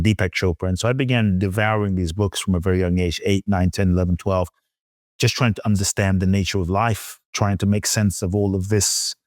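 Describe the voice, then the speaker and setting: A middle-aged man from London, a spiritual teacher, telling his story reflectively as an interview guest in measured, emphatic short phrases with some repetition. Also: low voice, no echo, studio quality. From London, England.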